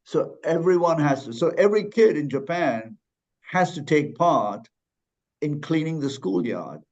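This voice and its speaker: A man's voice: on the high side, lively intonation, unhurried, a little mellow, dull audio. A man from Malaysia speaking as a podcast guest, thoughtful, with frequent restarts and fillers. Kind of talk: conversational interview.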